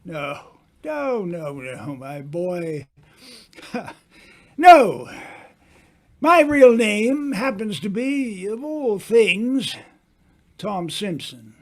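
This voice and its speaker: A man playing a worldly, self-assured older writer in an old-time radio mystery, speaking grandly with teasing, dramatic pauses. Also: high voice, big pitch swings, exaggerated voice.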